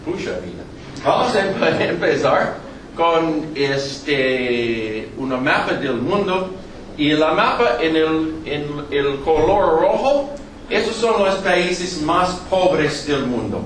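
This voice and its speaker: A middle-aged New Yorker, a native English speaker with a strong American English accent, giving a lecture in a hall, joking often and pausing on fillers. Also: male voice, on the high side, expressive. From New York, United States. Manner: halting, many fillers, joking asides. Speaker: guest lecturer. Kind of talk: public lecture with jokes. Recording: echoey hall, distant mic, background noise.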